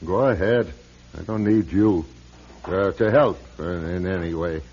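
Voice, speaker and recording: An actor playing a blunt, weary old priest in a radio drama, husky and sardonic, on a muffled recording. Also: male voice, deep voice, unhurried, noisy background. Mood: subdued. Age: older. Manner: gruff, sardonic, plain-spoken. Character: blunt, weary, grumbling old Irish-American parish priest.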